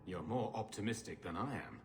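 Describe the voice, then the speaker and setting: Dry, dignified nobleman, lowish pitch. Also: sing-song, a little fast, darkish tone, faint music behind. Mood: dry, formal. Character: dry, dignified older nobleman, the king's uncle. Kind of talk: video game cutscene acting.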